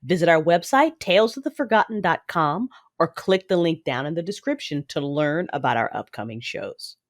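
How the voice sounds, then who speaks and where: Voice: mid-range voice, lively intonation, moderate pace, no room sound. An announcer reading a scripted show introduction and promo.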